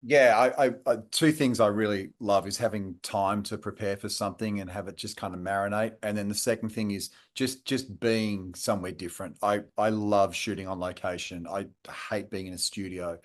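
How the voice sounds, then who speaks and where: A man's voice: on the low side, steady tone, moderate pace, hardly any pauses. A male actor talking animatedly about filming in an interview. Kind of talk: press junket interview.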